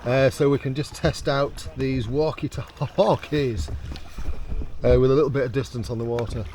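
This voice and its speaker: A man's voice: mid-range voice, steady tone, moderate pace, a little mellow. A British man chatting casually to camera as a vlogger testing gear, joking in a chummy way with friends. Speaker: vlogger presenting a product test. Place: outdoors on a canal, in a kayak. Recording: clear outdoor water and boat noise.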